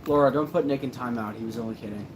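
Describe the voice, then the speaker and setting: A man hosts a loose, joking livestream and sounds easygoing and a little tipsy. His voice sits at a middle pitch. A steady hiss of room noise runs under everything he says. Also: rapid-fire. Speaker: host of a paranormal channel livestream. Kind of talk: casual livestream chat reading comments.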